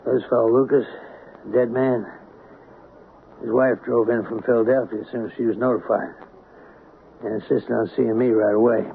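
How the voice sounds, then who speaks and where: A man's voice: medium pitch, level tone, moderate pace, creaky, pauses often, close to an everyday voice. An American man playing a folksy, plain-spoken small-town sheriff, hesitant and apologetic yet probing, in an old-time radio drama. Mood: puzzled, chatty. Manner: folksy, hesitant, rural turns of phrase. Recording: narrow, noisy old radio broadcast.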